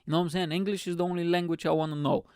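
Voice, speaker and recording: A young man from Bulgaria, a podcast host telling stories in a rambling, profane, animated way. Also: a little high, rapid-fire, clean tone, no echo, studio quality. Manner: profane, rambling, animated, constant "you know what I'm saying". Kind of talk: casual storytelling monologue.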